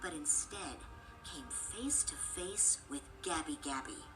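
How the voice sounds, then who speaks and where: A woman reading a scripted children's storybook as narrator, expressive and clearly phrased. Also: low voice, slow pace, over music, low-quality recording.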